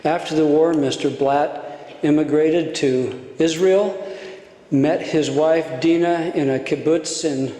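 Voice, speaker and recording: A man introducing a guest speaker formally, measured with some hesitations. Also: fairly high pitch, fairly even intonation, warm tone. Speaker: event host. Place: large lecture hall. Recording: echoey lecture hall.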